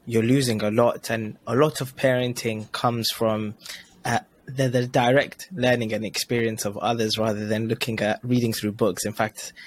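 Casual affirming male podcast host. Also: fairly low pitch. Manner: casual, affirming, quick interjections. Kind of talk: conversational podcast interview.

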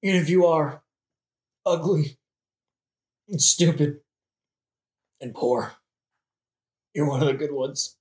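A man's voice: a little high, slow pace, long pauses. A man playing a dying young prince, sarcastic and crude, with slangy, melodramatic delivery in a modern comedic audio play. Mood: weary, dying. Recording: roomy, echoing recording.

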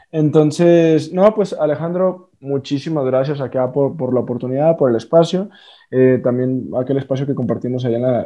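A man's voice: medium pitch, dark tone, lo-fi sound. A man hosting a casual podcast interview, friendly and a bit hesitant, full of fillers. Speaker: podcast host. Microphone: remote online call.

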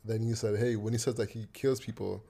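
Gravelly, mellow-voiced man on a podcast. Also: lowish voice. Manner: calm, argues in measured steps, many short agreements. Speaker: podcast co-host. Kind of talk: casual podcast chat.

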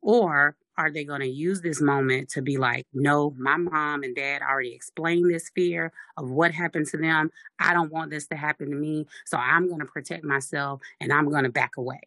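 A speaker with a deep, raspy voice, talking firmly and assertively in a casual discussion. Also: fairly even intonation, moderate pace, hardly any pauses, pushed and bright, no echo.

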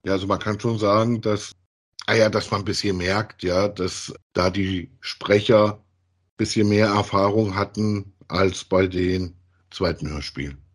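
A man, chatting casually as a podcast co-host, thinking aloud haltingly and repeating himself. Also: fairly low pitch, fairly even intonation. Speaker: podcast co-host reviewing audio dramas. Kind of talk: casual chat, reviewing audio plays.

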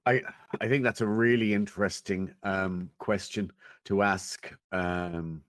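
Deep-voiced, measured man. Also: steady tone, dry recording. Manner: thoughtful, measured, halting with pauses. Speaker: interview guest, technology commentator. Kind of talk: conversational interview, giving considered opinions.

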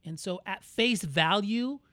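A man with an American accent co-hosting a podcast, talking in a candid, reflective and measured way. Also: sits high, sharp tone, no room sound. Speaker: podcast co-host. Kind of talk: casual conversational podcast.

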